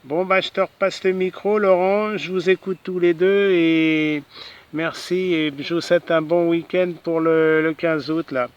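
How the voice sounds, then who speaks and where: A man's voice: on the high side, steady tone, a little slow, clean tone, muffled recording. A man talking casually as an amateur radio operator, hesitating and often ending phrases with little tag words. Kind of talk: casual ham radio contact chat.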